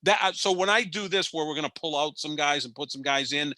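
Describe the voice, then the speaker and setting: A man in his fifties argues his sports opinions in a loose, rambling way, often tripping over his own restarts. His voice is bright and forward, and the recording is dry and close, with no room sound. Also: fairly high pitch, fairly quick, dry recording. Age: 50s. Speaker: podcast co-host. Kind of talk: casual sports debate.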